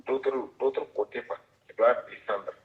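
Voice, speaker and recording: A man with a West African French accent speaks haltingly on a private phone call, searching for words. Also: mid-range voice, fairly even intonation. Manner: halting, searches for words, vague coded wording. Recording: tinny narrow-band phone line.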